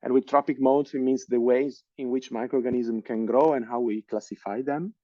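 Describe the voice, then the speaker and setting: A man's voice: medium pitch, steady tone, normal speed, no room sound, lo-fi sound. A man in his thirties with an Italian accent, giving an online science lecture with many hesitant fillers and restarts. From Italy. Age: 30s.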